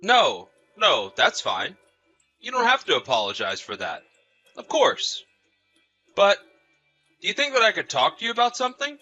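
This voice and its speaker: A man's voice: high-pitched, wildly swooping pitch, moderate pace, long pauses, pushed and bright, soft background music, exaggerated voice. A man playing an awkward neighbor with a complaint in a scripted audio story, hesitant and tactful but insistent. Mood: friendly, hesitant. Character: awkward, tired male neighbor making a complaint.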